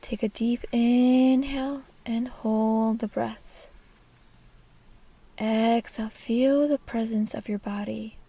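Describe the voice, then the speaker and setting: Woman, guided meditation, mellow higher-pitched voice, breathy soft delivery, soothing. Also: steady tone, slow, dry recording.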